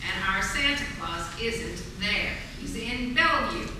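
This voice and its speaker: A woman's voice: on the high side, lively intonation, a little slow, noisy background. A woman playing an irate, imperious department store boss in a radio play, speaking bluntly. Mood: angry, commanding. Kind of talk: 1940s radio play acting on stage. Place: stage of a community theatre. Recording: echoey theatre from far off.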